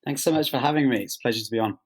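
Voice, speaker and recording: A man's voice: mid-range voice, rapid-fire, slightly rough. A man speaking conversationally as an interview guest, modest and self-effacing. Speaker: interview guest, audio drama writer.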